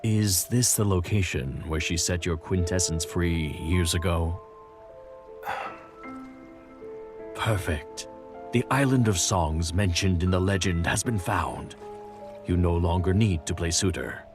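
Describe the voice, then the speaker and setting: A man's voice: sits low, slow, pauses often. A man playing a stern, devout sea-folk henchman who speaks formally and solemnly. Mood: stern, grave. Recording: loud music under the voice.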